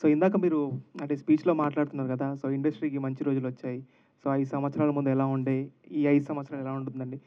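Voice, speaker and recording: Quick male reporter, dull sound. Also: medium pitch, flat delivery. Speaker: reporter asking questions. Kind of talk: press questioning.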